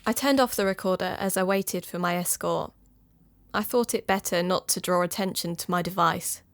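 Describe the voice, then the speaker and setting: An actress playing an earnest woman amateur sleuth who hosts an investigative podcast, narrating calmly. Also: on the low side, moderate pace, close to an everyday voice. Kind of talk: audio drama narration and dialogue.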